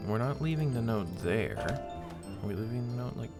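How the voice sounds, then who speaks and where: A man's voice: mid-range voice, animated, brisk. A man giving casual, chatty commentary while playing a game, thinking aloud and poking fun at himself. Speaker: let's play host. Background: loud game music.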